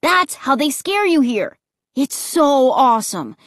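A woman voicing an excitable, cocky, thrill-loving young boy in a children's audiobook, eager and emphatic. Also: sits high, normal speed, no echo, studio quality. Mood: eager, insistent.

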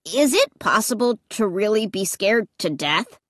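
A woman's voice: mid-range voice, animated, measured pace, no echo, studio quality. A woman voicing a timid, doubtful young boy in a children's audiobook, hesitant, subdued and serious. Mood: doubtful, solemn.

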